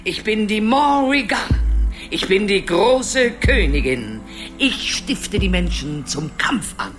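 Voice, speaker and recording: A woman's voice: mid-range voice, big pitch swings, slow pace, soft background music. An actress playing a menacing, grandiose ancient witch-queen in a radio drama, theatrical, with sudden whispers and shrieks. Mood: menacing, triumphant.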